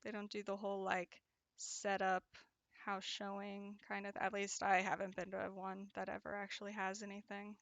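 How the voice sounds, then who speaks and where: Young woman, craft streamer, casual chat, bright, gravelly, dry close sound. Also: mid-range voice, completely flat delivery, normal speed, no echo, dull audio. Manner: chatty, laughs often, trails off into restarts. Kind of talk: casual chat while crocheting and reading chat.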